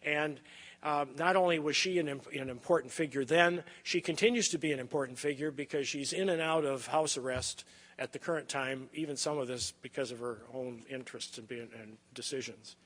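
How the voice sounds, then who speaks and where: A man hosting an event, giving an informal introduction of a speaker in a halting, hedging manner. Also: medium pitch, a bit bright. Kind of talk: informal spoken introduction at a lecture. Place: large indoor lecture hall. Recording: lecture hall, long reverb.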